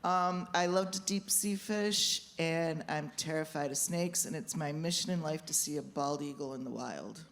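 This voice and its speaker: A woman's voice: sits low, moderate pace, few pauses, a bit bright, a little hiss or hum. A playful woman from Western New York casually introducing herself to a group with jokes. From Western New York, United States. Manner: playful, joking.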